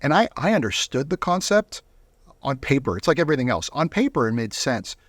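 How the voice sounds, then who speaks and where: Conversational man with dry, mid-pitched voice. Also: very animated, a little fast, dry recording. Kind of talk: casual conversational interview.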